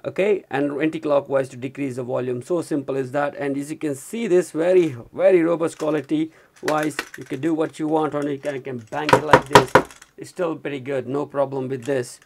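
Higher-pitched man reviewing a gadget. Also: steady tone, a little fast, slight background noise. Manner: informal, repeatedly addresses viewers as guys. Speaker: product reviewer. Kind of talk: hands-on gadget review demonstration. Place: indoors at a desk.

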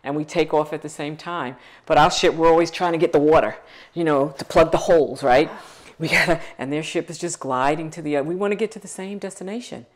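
Middle-aged woman, low voice, emphatic and passionate interviewee, lapel mic. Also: fairly quick. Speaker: foundation CEO. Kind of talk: interview conversation. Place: living room set on a couch.

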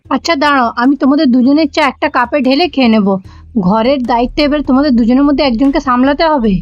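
High-pitched bossy Bengali mother-in-law, acted by a woman. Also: fast talker, lightly acted. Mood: commanding. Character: bossy, hot-tempered middle-aged Bengali mother-in-law. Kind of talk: cartoon comedy voice acting. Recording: dull, lo-fi audio with music behind.

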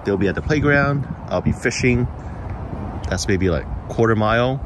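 A man's voice: mid-range voice, normal speed. A man giving a casual, matter-of-fact hands-on product review as the host of his channel. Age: adult parent of young children. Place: outdoors, patio table and residential sidewalk. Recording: clearly noisy outdoor recording, traffic hum.

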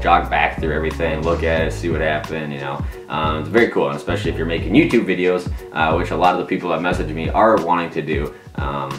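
A man in his thirties giving a casual, informal explanation to camera as a video host. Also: a bass voice. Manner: informal, conversational. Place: small domestic room at a table, indoors. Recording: roomy echo, music behind.

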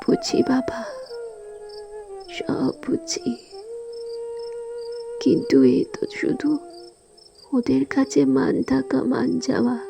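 A woman's voice: high-pitched, wildly swooping pitch, takes it slowly, creaky, pauses often, over music, exaggerated voice. A woman acting an outspoken young married daughter in a sad audio drama, emotional and pleading, speaking with precocious earnestness. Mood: tearful pleading.

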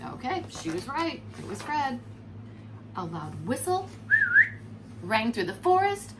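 A woman in her forties reads a children's story in an expressive teacher's manner. Her voice is fairly high and very animated in pitch, with noticeable noise behind it. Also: slow pace. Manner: expressive, explains words to children. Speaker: preschool teacher. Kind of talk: storybook read-aloud for young children. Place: furnished room at home, indoors. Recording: clear background noise.

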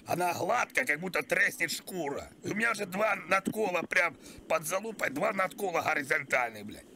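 A man's voice: sits high, expressive, moderate pace, creaky, background noise. A man voicing a crude, whiny old pensioner on a prank call, pestering and rambling in a strained, plaintive voice. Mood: insistent. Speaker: prank caller. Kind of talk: prank phone call improvisation.

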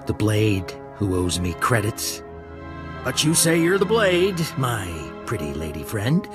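Sly, swooping alien thug. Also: male voice, lowish voice, sing-song, measured pace, over music. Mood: mocking menace. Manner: mocking, oily, teasing threats. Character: menacing, sly male horned alien thug. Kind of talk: video game voice acting.

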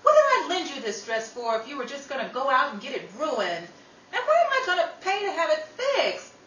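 A woman playing an outspoken, quick-tempered young working sister in an old-time radio style drama, brisk and dramatic. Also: high voice, huge pitch swings, bright, forceful tone, exaggerated voice. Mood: exasperated. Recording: roomy, distant mic, noisy.

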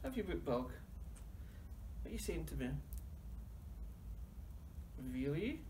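A man with a Doric Scots accent leading young children through a finger-counting rhyme in a library, gently chatting to a soft toy between verses. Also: on the high side, sing-song, slow pace, slight background noise, rough recording. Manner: gently coaxing, talks to a toy. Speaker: library storytime leader. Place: library reading corner.

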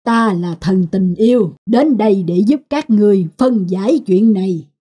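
A woman's voice: mid-range voice, normal speed, warm tone, breathy edge, lightly acted. A woman playing a stern, wise goddess of love in a cartoon, solemn and admonishing. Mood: grave. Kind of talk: Vietnamese cartoon dialogue.

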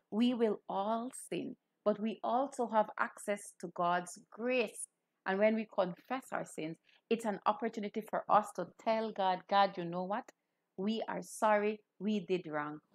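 Woman, thirties; cleric-host delivering a simple, direct children's sermon. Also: mid-range voice. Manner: clear teaching, addressing children. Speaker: Anglican cleric hosting children's Bible broadcast. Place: small indoor room.

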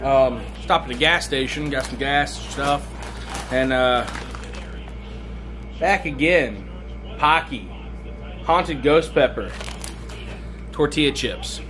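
A man in his thirties vlogging to a handheld camera from a car and a hotel room, telling a rambling, excited story. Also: mid-range voice, slow pace, long pauses, slight background noise. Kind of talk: casual vlog. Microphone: handheld camera at arm's length.

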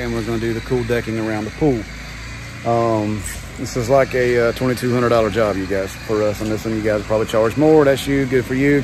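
A man, talking casually to a phone camera about his pressure washing business, chatty and laid-back with lots of "um" and "man". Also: mid-range voice. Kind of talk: casual vlog talk to camera. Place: outdoors under a covered patio at a large house. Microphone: phone held at arm's length. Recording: outdoor phone audio with engine noise. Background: pump engine and vehicle noise.

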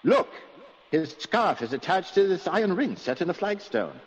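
Man acting a very animated gentleman. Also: high voice, normal speed, breathy edge, exaggerated voice. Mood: excited. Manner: exclamatory, bewildered, old-fashioned gentry speech. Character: excitable, puzzled upper-class male country gentleman. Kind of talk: radio mystery drama acting. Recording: dull, lo-fi sound.

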